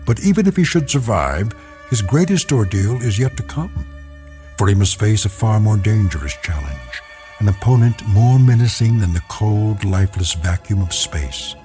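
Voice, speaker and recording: An actor as a solemn, ominous narrator giving measured, portentous scripted narration for an eerie sci-fi radio drama. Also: male voice, fairly low pitch, animated, dark tone, soft background music, close to an everyday voice.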